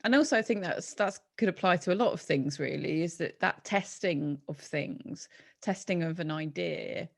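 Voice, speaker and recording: Lower-pitched woman with a creaky voice, interviewing. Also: moderate pace. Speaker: podcast host and interviewer. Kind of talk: conversational interview.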